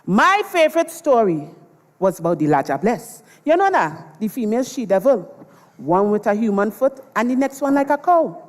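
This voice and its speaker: A woman's voice: fairly high pitch, very animated, normal speed. Woman as a Trinidadian folklore storyteller, echoey hall. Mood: relishing, theatrical. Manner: theatrical, addresses the audience. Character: folklore storyteller recalling a grandparent's old tales. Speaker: storyteller, narrator. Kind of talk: dramatized dialect storytelling. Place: large performance hall, on stage. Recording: echoey hall with long reverb.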